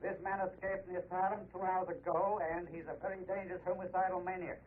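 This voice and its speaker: An actor playing an urgent, formal newscaster heard through a radio, in clipped 1940s announcing style. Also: male voice, sits high, flat delivery, fast talker. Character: urgent male radio newscaster reading an alert. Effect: car radio filter. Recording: tinny, distant, noisy old radio.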